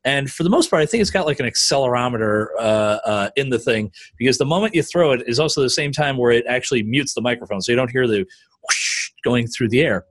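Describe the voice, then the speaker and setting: A man's voice: medium pitch, fast talker, no echo. A man hosting a podcast in casual chat, loose and chatty, laughing easily. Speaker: podcast host.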